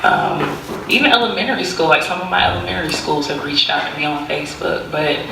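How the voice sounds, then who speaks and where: A young woman answering as a panelist in a measured way, with frequent fillers. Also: sits low, very animated, vocal fry. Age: young adult. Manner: measured, uses "like" and "um" often. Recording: distant echoey hall recording with background noise.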